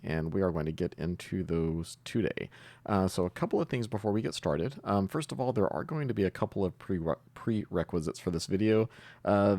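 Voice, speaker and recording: A man's voice: sits low, a little mellow, no room sound. A man presenting an informal step-by-step screencast tutorial, explaining things as he goes. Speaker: host of a programming tutorial channel.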